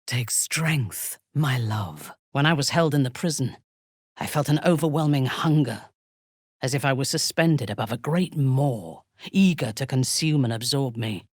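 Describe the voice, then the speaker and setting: Disdainful female warrior cleric, husky, slow and deliberate, widely swooping pitch, cruel, imperious, fantasy game. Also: lively intonation, dry recording, clean studio sound, heavily acted. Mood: low intimacy, then grave recollection. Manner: commanding, haughty, deliberate, theatrical. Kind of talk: fantasy video game voice acting.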